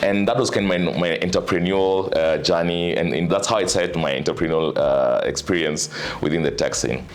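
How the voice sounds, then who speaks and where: Deep-voiced man, relaxed guest. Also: brisk, few pauses. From Kenya. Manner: relaxed, chatty with frequent fillers and laughs. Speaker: venture capital investor, guest. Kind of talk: casual interview conversation.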